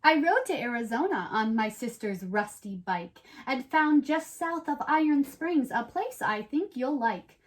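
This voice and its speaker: Woman as a high, perky kids' reading buddy. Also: measured pace, hardly any pauses. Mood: enthusiastic. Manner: animated, bubbly, chatty. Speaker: co-host reading a picture book aloud. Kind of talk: children's storybook read-aloud with playful asides.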